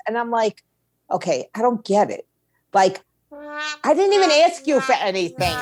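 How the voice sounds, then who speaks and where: A woman chatting casually as an interview guest, blunt and candid, telling stories by quoting people. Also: a little high. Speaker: interview guest, indie label owner.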